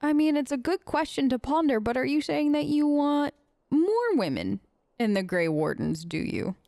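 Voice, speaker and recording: A woman's voice: high-pitched, sing-song, moderate pace, vocal fry, mellow, dry recording. A woman giving casual, wry gaming commentary as a let's play host, chatting in character on a close mic.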